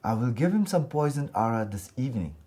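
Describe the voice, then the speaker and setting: A man's voice: medium pitch, sing-song, brisk, warm tone, husky. A man voicing a secretive, coaxing, deceitful mother of a grown son in a folktale. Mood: plotting. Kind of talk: folktale storytelling.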